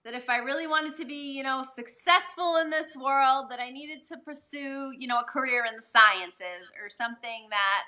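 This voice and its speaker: A woman from Massachusetts with a Boston accent, an author answering interview questions eagerly and enthusiastically, her voice sounding distant and quiet. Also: high voice, moderate pace, hardly any pauses. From Massachusetts, USA. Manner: enthusiastic, eager, laughs easily. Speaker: author, guest.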